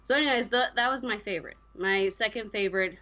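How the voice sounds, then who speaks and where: A woman's voice: medium pitch, fast, pushed and bright, lo-fi sound. A woman co-hosting a gaming podcast, chatting casually and with strong opinions, often voicing her own reactions as quotes. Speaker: podcast co-host discussing a video game. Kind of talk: casual chat.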